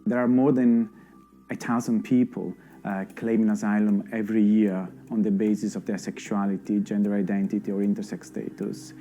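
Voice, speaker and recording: Low mellow guy doing documentary voiceover, calm and explanatory, faint music. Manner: measured, explanatory. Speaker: documentary narrator. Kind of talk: scripted voice-over narration. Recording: faint music bed underneath.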